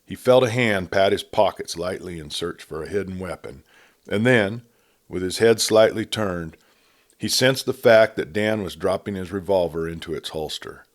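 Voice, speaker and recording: A man reading a Western novel aloud as a storyteller, with a measured, steady delivery. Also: deep voice. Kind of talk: audiobook narration of a Western.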